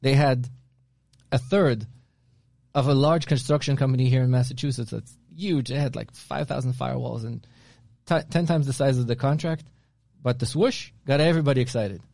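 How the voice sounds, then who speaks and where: Brief: warm, mellow male co-host, anecdotal and chatty, dry close sound. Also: medium pitch, moderate pace, creaky, dry recording. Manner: storytelling, restarts often, anecdotal. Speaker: podcast co-host. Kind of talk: casual conversational chat.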